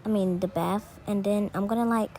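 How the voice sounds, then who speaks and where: A female voice narrating a roleplay routine in a casual, halting, step-by-step way. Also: medium pitch, steady tone. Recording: clearly noisy home recording.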